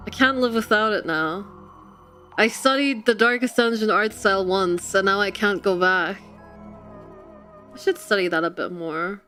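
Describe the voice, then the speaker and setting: A woman's voice: mid-range voice, normal speed, pushed and bright. A woman livestreamer chatting casually and expressively with her audience in a giggly, chatty manner. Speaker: livestream host and artist. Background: background music.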